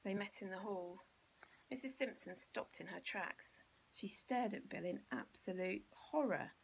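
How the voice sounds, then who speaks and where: A woman's voice: medium pitch, measured pace, vocal fry, slightly rough, rough recording. A woman with an English accent reading a children's storybook expressively, as a teacher would to pupils.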